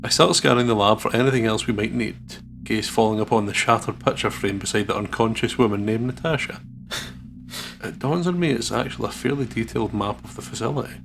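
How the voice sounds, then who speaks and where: A man's voice: fairly low pitch, brisk, creaky, a bit bright, slight background noise. A man narrating a visual novel aloud as a let's player, with playful, casual and sweary commentary.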